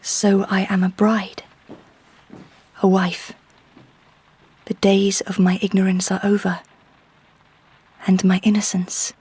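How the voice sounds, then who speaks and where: An actress playing an earnest, brooding young Victorian bride, giving an intimate inner monologue in a breathy whisper. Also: female voice, medium pitch, level tone, takes it slowly, pauses often, a little mellow. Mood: wistful. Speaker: lead actress and narrator in a radio drama.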